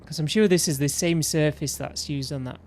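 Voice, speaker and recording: A man's voice: a little high, a little fast, a little mellow, slight background noise. A man streaming a game, losing his voice, rambling and interrupting himself as he shows off his own builds. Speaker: gaming streamer. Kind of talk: casual commentary while showing his game builds.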